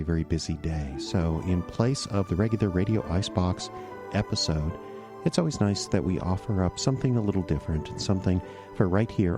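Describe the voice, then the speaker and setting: A middle-aged man hosting a radio theater show and introducing the episode in a relaxed, casual way with frequent fillers. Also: low-pitched.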